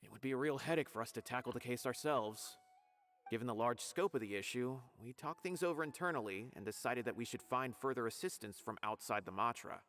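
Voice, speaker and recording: An actor playing a polite, earnest male investigator in a video game, explaining things in a measured way. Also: medium pitch, lively intonation, a bit bright, lightly acted.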